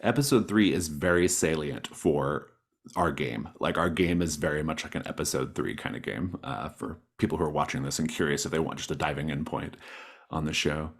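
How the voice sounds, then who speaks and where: A man with a low voice acts as game master on an online call. He introduces the session in a casual, conversational way. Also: fairly quick, slightly rough.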